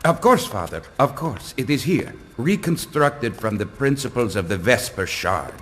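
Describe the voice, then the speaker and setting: An actor playing a pompous, fawning vampire inquisitor with drawn-out, theatrical speech in a video game cutscene. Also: male voice, mid-range voice, very animated, fairly bright tone. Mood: fawning, proud. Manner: pompous, obsequious, drawn-out delivery. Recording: long hall reverb, faint noise.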